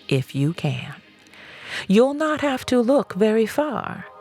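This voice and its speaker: A woman reading a self-help audiobook in a measured, emphatic storytelling manner. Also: sits low, creaky, a little mellow. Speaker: audiobook reader. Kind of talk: scripted narration of a self-help book.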